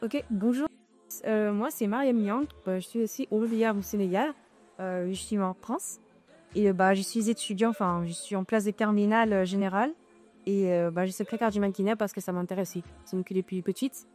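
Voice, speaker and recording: A young woman of eighteen with a mellow, warm voice gives hesitant answers full of fillers. Faint music plays behind her. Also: medium pitch, steady tone, a little fast. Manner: shy, hesitant, many fillers. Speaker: student model. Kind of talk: interview answers.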